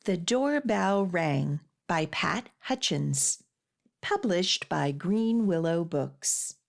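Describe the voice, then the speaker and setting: A woman leads a storytime for small children in an unhurried read-aloud style. Her phrasing is deliberate and sing-song, and her pitch swings widely as she tells the rhyme. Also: lively intonation, creaky. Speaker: children's storytime host reading picture books.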